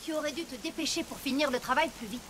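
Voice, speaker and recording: A woman's voice: high voice, fast, background noise, close to an everyday voice. A woman voicing a blunt, hardworking farm pony mare in a cartoon dub, practical and teasing. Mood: exasperated. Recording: loud cartoon music and rain behind.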